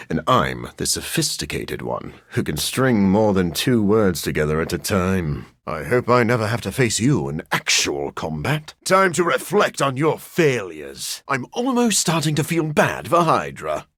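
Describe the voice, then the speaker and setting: An actor playing a sophisticated, bookish vampire scientist in a video game, speaking in an articulate, formal way with dry wit and a raspy edge. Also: male voice, fairly low pitch, a bit bright, no echo, heavily acted. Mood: battle-ready. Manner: articulate, formal, dryly witty. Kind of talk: video game character voice lines.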